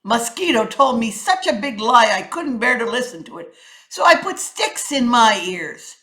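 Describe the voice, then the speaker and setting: Storybook iguana, read by a woman: defensive, protesting, plain-spoken, bright and fairly high. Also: big pitch swings, normal speed, echoey room. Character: defensive iguana denying any wrongdoing.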